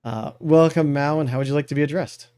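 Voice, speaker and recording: A man's voice: a little high, fairly even intonation, quick pace, a little mellow. A man hosting a show in a casual, informal and enthusiastic way. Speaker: podcast host.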